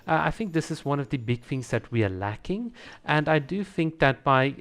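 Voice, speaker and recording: A man's voice: on the high side, big pitch swings, moderate pace, a bit bright. A man talking casually to viewers as a video creator, explaining his opinions and laying out ideas with examples.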